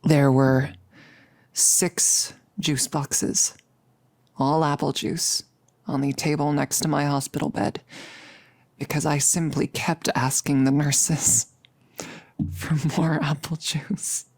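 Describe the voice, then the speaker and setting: A performer playing a nervous, joking girlfriend in an intimate ASMR audio roleplay, speaking haltingly and confessionally, with lapses into whispering. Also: medium pitch, little pitch movement, darkish tone, no room sound. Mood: wry, reminiscing. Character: nervous, joking girlfriend confiding before becoming a vampire.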